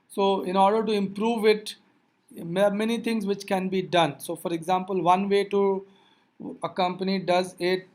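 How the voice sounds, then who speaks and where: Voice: mid-range voice, a little mellow. A physics teacher giving an explanatory lecture, addressing learners directly with reminders in a didactic way.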